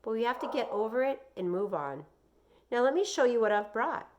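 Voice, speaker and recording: A woman's voice: a little high, animated, a little fast, noisy background, voice pushed up, natural voice. Woman voicing a kindly, firm schoolteacher. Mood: gentle, firm. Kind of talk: children's novel read aloud.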